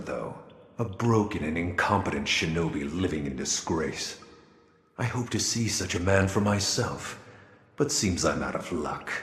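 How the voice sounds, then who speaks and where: A man's voice: deep voice, heavily acted. An actor playing a menacing warrior in a video game, scornful and taunting toward his prey. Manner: slow, contemptuous, taunting. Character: menacing, scornful male warrior hunting an immortal. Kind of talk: video game cutscene acting. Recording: echoey long reverb under loud music.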